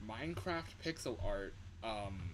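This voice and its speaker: Slow-talking male game streamer over clear noise. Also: lowish voice, lively intonation. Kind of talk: casual live gaming commentary.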